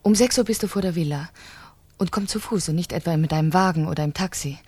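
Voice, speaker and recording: An actress playing a cold, calculating wife who plots in a precise, commanding manner in a German radio crime drama. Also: female voice, on the low side, quick pace, a little mellow, slightly rough, no echo. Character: cold, calculating French wife plotting her husband's murder.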